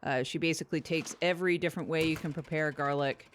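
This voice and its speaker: A woman's voice: sits low, fairly even intonation, fast talker, slight background noise, natural voice. A woman playing a blunt, casual podcast producer, rambling matter-of-factly through absurd improvised comedy.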